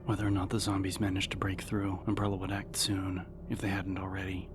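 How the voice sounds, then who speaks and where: A low-voiced man narrates a dramatic audiobook in a measured, serious way. His intonation stays flat and controlled, with music clearly playing behind him. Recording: narration over background music.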